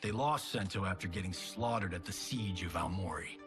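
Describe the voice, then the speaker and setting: A man's voice: sits low, moderate pace, natural voice. An actor playing a cocky, glib, washed-up male action movie star in a video game cutscene, flippant and showy. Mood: sardonic. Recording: music behind the voice.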